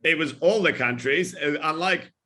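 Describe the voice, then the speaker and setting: A man's voice: on the high side, moderate pace, pushed and bright. A man co-hosting a podcast, talking in a blunt, teasing way. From Australia. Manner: blunt, teasing, swears casually. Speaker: podcast co-host. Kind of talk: casual chat.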